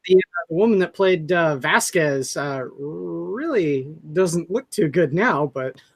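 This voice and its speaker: Husky-voiced man in casual podcast chat. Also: on the high side, expressive, slow, no room sound. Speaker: podcast panelist.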